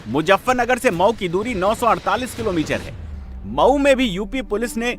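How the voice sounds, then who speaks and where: Guy doing punchy hindi-style tv news voiceover, really lively pitch swings, light music under it. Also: normal speed. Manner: punchy, emphatic, repetitive phrasing. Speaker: news reporter narrator. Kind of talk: dramatic scripted TV news narration.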